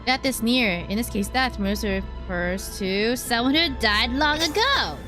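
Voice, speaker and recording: A woman streamer giving casual gameplay commentary, reading bits aloud and thinking out loud in broken, trailing phrases. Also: on the high side, animated, a little slow, music in the background. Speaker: streamer playing a game.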